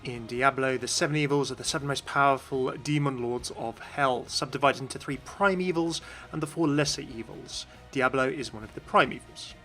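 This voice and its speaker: A man's voice: medium pitch, moderate pace, few pauses, bright, forceful tone. A man hosting a gaming video, giving scripted explanations in narration. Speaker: video host. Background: music.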